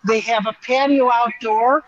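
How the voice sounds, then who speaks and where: A man's voice: very high voice, fairly even intonation, a little slow, a bit bright, highs cut off, slight background noise. A man giving an enthusiastic, conversational live walk-through as a restaurant reporter, explaining as he goes.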